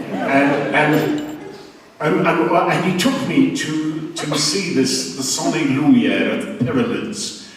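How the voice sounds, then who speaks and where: An older Englishman with an English accent spins dry, wry anecdotes on a convention panel, his voice of middling pitch. He sits well off the mic, and the big hall washes his words in a long, echoing reverb over a faint hiss. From England. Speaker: panel guest actor. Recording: echoey hall from far off.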